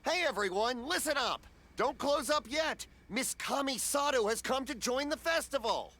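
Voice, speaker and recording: A man's voice: very high-pitched, animated, normal speed, full character voice. A middle-aged man voicing a friendly, generous festival vendor in a video game, chuckling as he banters. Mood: jovial. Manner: jovial, chuckling, warm-hearted banter.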